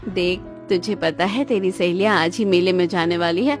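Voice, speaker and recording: A woman voicing a middle-aged mother in a cartoon, gentle but firm, with a measured maternal manner. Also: fairly low pitch, soft background music, voice pushed down, natural voice. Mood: practical, firm.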